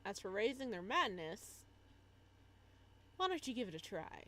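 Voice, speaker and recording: A woman's voice: mid-range voice, big pitch swings, measured pace, fairly bright tone. A woman live-streaming a game in a casual style, reading on-screen text aloud and adding chatty asides.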